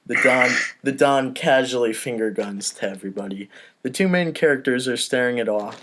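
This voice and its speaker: A man's voice: medium pitch, a little fast, a bit bright, a little hiss or hum. A man hosting a casual comedy table read, reading stage directions and giving informal, self-deprecating asides.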